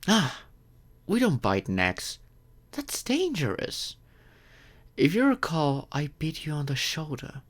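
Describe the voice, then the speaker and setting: A man playing a courteous, gentle, wealthy old vampire in an audio roleplay, speaking reassuringly and earnestly to the listener. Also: on the high side, very animated, heavily acted. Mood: patient, explaining. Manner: gentle, reassuring, unhurried with thoughtful pauses.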